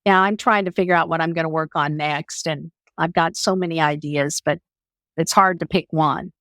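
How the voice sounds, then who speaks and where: A woman's voice: low-pitched, steady tone, normal speed, a bit bright, dry recording. Woman podcast host, dry close sound. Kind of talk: conversational interview.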